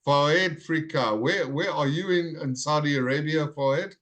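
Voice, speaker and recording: A man teaching a live online English class over a video call, greeting students and repeating their names slowly and clearly. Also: on the high side, a bit bright.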